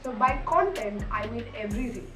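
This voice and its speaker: A woman's voice: on the high side, animated, fairly quick. A young woman with an Indian English accent and Malayalam as her first language, teaching English in an earnest, repetitive way, her voice slightly cracky from a cold. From Kerala, India. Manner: earnest, repeats herself, apologetic. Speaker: English teacher, channel host. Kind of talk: instructional vlog talk. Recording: roomy, distant mic, music underneath.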